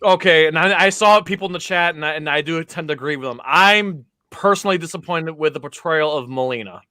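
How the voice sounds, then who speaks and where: A man's voice: fairly high pitch, fairly quick, sharp tone, clean tone, no echo, studio quality. A man on a podcast panel, explaining and giving opinions in casual fan discussion.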